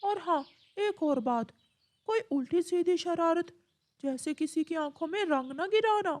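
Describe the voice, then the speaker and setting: A woman's voice: very high voice, expressive, a little slow, darkish tone, pitched above the performer's own voice. A woman voicing a kindly male parrot schoolteacher in a cartoon, speaking up instructively to a class. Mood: kindly, advising. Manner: instructive, projected.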